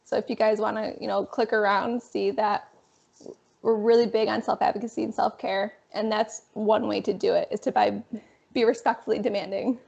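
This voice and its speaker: A young woman in her twenties with a raspy voice, a guest telling her story in a casual, animated interview. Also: medium pitch, normal speed. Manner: animated, frequent fillers. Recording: dull lo-fi sound.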